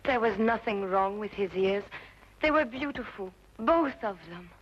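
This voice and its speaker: A woman's voice: medium pitch, lively intonation, measured pace, close to an everyday voice. A woman playing a stubborn, prim, lovestruck young lady in an old radio drama. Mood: insistent, defensive. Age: young adult. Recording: dull old radio recording, faint noise.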